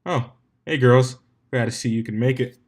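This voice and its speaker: A man's voice: mid-range voice, normal speed, darkish tone, natural voice. A man voicing a cocky, laid-back young male cartoon pony with a casual, boastful manner in a fan story reading. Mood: breezy.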